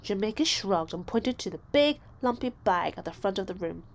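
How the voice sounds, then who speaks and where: A woman reads a children's storybook aloud as narrator. Her delivery is expressive and deliberate, with dramatic emphasis and very lively pitch movement at a medium register. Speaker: storybook reader.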